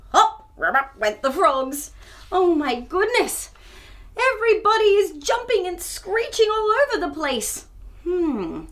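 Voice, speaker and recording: A woman hosting a children's storytime, reading a picture book aloud in an expressive, theatrical way and chatting to young viewers. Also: extremely high pitch. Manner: expressive, theatrical, with gasps and exclamations.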